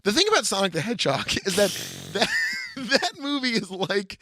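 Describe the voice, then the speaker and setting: A man's voice: sits high, big pitch swings, a little fast, pushed and bright, a little hiss or hum. A man co-hosting a podcast in casual, profane comedic movie chat, joking and riffing with mock drama. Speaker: podcast co-host.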